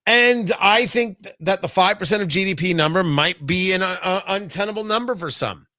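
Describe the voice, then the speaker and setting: A man's voice: high voice, moderate pace, sharp tone, no echo. A man hosting a conversational talk show, speaking in an emphatic, punchy way from a studio.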